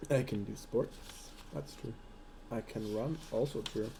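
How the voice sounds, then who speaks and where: A man's voice: medium pitch, a little slow, vocal fry, dark tone, slight background noise, low-quality recording. A man giving dry, offhand remarks and sorting out links for friends before a tabletop game. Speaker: player and organizer.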